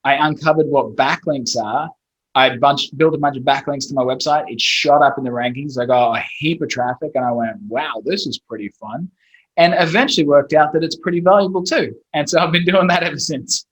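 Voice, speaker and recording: A man's voice: on the high side, brisk, a bit bright, rough recording. A man in his thirties from Australia with an Australian accent, a guest talking bluntly and casually, with mild swearing. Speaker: guest, SEO expert. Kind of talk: casual interview chat.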